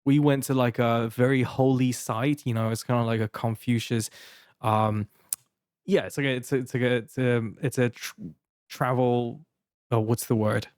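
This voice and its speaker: A Taiwanese-accented man in his thirties spins a rambling anecdote, full of restarts and little laughs. His voice sits at an ordinary middle pitch. The recording is dry and close, with no room sound. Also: steady tone, normal speed, darkish tone, no room sound. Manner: rambling, many restarts and fillers, laughing while telling. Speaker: podcast co-host. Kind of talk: casual storytelling.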